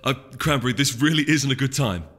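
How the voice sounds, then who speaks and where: Casting: man as easygoing young storybook stallion, polite, hesitant, bright lively voice, hall reverb. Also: mid-range voice, fairly quick, voice pushed up, lightly acted. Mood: apologetic. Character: amiable, easygoing young male winged-unicorn prince type. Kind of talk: audiobook character voice. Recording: echoey hall reverb, music behind.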